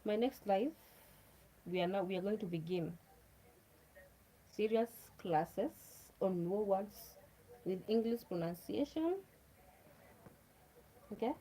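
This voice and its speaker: A woman's voice: medium pitch, animated, slow pace, long pauses, warm tone, slight background noise, low-quality recording. A Kenyan woman whose first language is Luo, chatting casually to viewers on a livestream, repeating herself and speaking to them directly. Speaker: livestream host.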